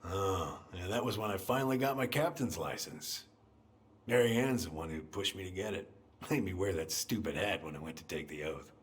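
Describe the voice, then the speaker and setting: Male actor, grieving American man, middle-aged, halting folksy delivery, low pitch, roomy echo, story-game acting. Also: lightly acted. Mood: wistful reminiscing. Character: self-deprecating, grieving older man, old family friend. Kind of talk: naturalistic video game drama acting.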